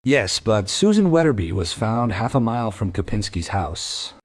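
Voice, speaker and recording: An actor playing a matter-of-fact, reasoning police officer with a husky voice in a crime radio play. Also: male voice, fairly low pitch, big pitch swings, normal speed, no room sound.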